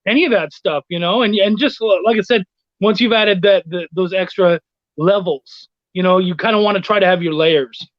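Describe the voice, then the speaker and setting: Guy guest on a video chat, pretty high voice, slangy, dry muffled audio. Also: moderate pace, no room sound. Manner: informal, slangy. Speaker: guest, comics writer and editor.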